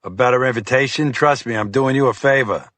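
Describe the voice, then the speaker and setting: A man's voice: mid-range voice, a little fast, fairly bright tone, no echo, pitched above the performer's own voice, lightly acted. A man voicing a blunt, scolding, tubby middle-aged male FBI agent with a Boston accent in an audiobook. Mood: gruff irritated. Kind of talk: audiobook character voice.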